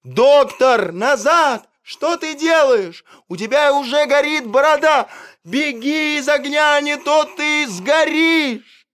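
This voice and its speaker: A man voicing a crowd of frightened animals in a storybook reading, shrill and urgent. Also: takes it slowly, a bit bright, full character voice. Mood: panicked. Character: anxious crowd of animals, pleading and frantic.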